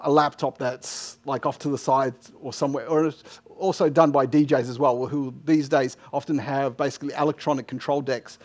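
A middle-aged man answering technical questions informally as a conference speaker, in a halting way with frequent false starts. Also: medium pitch, darkish tone. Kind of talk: informal technical Q&A after a talk. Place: indoor lecture room, at a lectern. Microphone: headset microphone.

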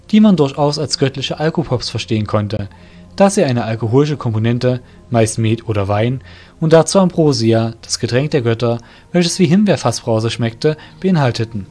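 A man's voice: mid-range voice, darkish tone. A man narrating a scripted fantasy audio drama.

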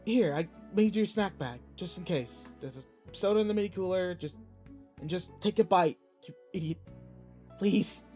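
Man acting high-voiced, gruffly caring young student bully. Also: moderate pace, warm tone, faint music behind, lightly acted. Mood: tender, worried. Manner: brusque, swearing, emotional. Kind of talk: acted audio roleplay drama.

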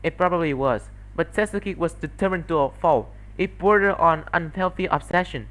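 A man voicing an earnest female former researcher who explains things in a measured, guilty way, in an amateur visual novel fandub. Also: on the high side, fairly bright tone, lightly acted. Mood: regretful.

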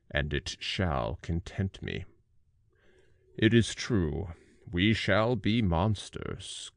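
Very deep, slow, gravelly monster. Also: male voice, dry recording, clean studio sound, heavily acted. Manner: slow, weighty, dramatic delivery. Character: monstrous male fiend, miserable, vengeful, pleading. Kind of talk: audiobook character acting.

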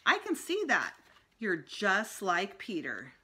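A woman's voice: mid-range voice, huge pitch swings, slow, pushed and bright, a little hiss or hum, full character voice. A woman voicing a playful, teasing male children's dentist from a storybook, with exaggerated coaxing praise.

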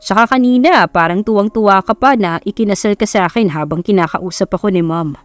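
A woman's voice: mid-range voice, brisk, dry recording, faint music behind, natural voice. Woman playing a cocky, taunting Filipino playboy billionaire. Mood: teasing. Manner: taunting, code-switching into English. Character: cocky, sarcastic young billionaire playboy man.

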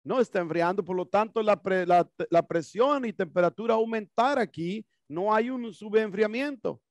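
A man's voice: high-pitched, normal speed, dry recording. A man in his thirties teaching an HVAC class in a classroom, asking questions and answering them himself. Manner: explanatory, asks and answers his own questions. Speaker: HVAC instructor. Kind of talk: classroom lecture. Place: classroom with whiteboard and HVAC equipment. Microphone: headset mic.

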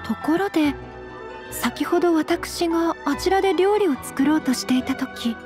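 A high, soft young lady of noble birth for an anime game. She is earnest, shy and impeccably polite, speaking formally over faint background music. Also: moderate pace. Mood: shy, anxious. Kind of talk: Japanese anime game voice acting.

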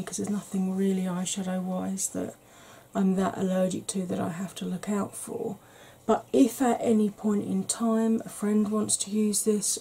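A middle-aged woman chatting softly in a rambling, gentle way for an ASMR makeup vlog, seated in a small room at home. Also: medium pitch, slightly rough, low-quality recording. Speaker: beauty YouTuber. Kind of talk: soft-spoken ASMR makeup chat.

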